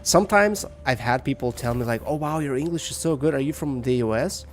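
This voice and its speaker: A man's voice: medium pitch, a little fast. A man from Lithuania with a Lithuanian accent, a game streamer giving casual, rambling and somewhat hesitant commentary and chat. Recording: music behind the voice. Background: music.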